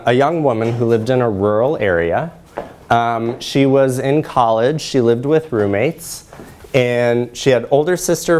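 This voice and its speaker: A man in his thirties speaks as a presenter at a conference. His pitch sits in the middle of the male range. He explains things in a measured way, addressing a lecture hall. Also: a little mellow, a little hiss or hum. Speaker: presenter, researcher.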